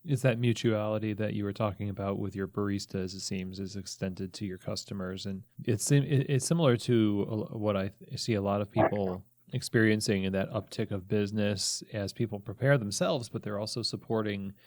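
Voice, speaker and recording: A man hosting a conversational interview, reflective, working his way through long, halting questions. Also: fairly low pitch, steady tone, darkish tone, dry recording. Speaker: podcast host, interviewer.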